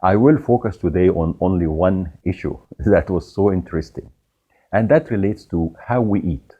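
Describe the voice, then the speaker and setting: A middle-aged man from Egypt hosting a show, telling a personal story in an animated way with laughs along the way. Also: deep voice, normal speed, slightly rough, narrow, tinny audio. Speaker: podcast host. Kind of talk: storytelling monologue.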